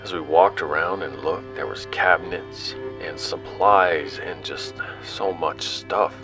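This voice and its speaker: A man playing an explorer who recounts his expedition in a sci-fi audio drama, halting, informal and conversational. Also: deep voice. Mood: hushed wonder. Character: young male explorer recounting his expedition, awed and casual. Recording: music under the voice.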